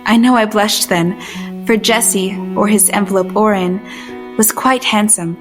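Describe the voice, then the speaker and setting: A woman's voice: mid-range voice, steady tone, unhurried, over music, natural voice. An actress playing an earnest, anxious young woman in a period audio drama, hesitant and wistful with proper, old-fashioned phrasing. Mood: wistful narrating. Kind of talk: period audio drama acting with first-person narration.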